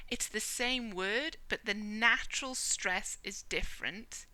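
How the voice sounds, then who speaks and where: A British woman teaching a Spanish lesson, explaining clearly and checking in with the listener as she goes. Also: medium pitch, pushed and bright, dry recording, low-quality recording. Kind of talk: whiteboard language lesson.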